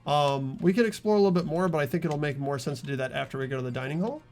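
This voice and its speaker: A man's voice: fairly high pitch, very fast, a little mellow, soft background music. A man hosting a video game playthrough, talking casually as he explains things to the group. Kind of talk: casual let's-play commentary.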